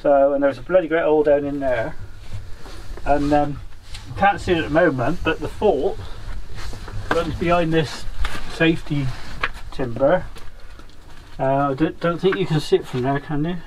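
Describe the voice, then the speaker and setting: A man's voice: fairly high pitch, moderate pace, long pauses. A man explaining things casually to a visitor, like a miner showing someone round. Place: small underground coal mine tunnel. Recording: noisy on-location camera audio.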